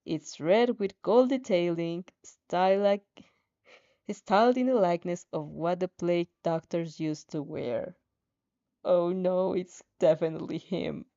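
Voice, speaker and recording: A woman reading a story aloud in an expressive, dramatic way, with playful casual asides and the odd stumble over a line. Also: lowish voice, a little slow. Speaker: fan fiction reader reacting as she reads. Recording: dull, slightly muffled lo-fi audio.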